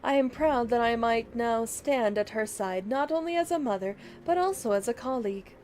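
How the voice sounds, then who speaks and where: A woman's voice: fairly high pitch, normal speed, darkish tone, a little hiss or hum. A woman livestreamer reading fantasy game dialogue aloud in a dramatic way, with game music behind her.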